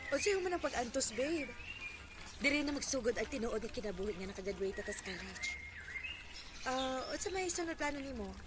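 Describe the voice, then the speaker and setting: A woman's voice: high voice, lively intonation, brisk. An actress playing a hardworking, trusting young woman, fresh out of college, in a Cebuano Filipino radio drama. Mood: eager, caring. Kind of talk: Bisaya radio drama acting. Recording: very noisy radio drama recording.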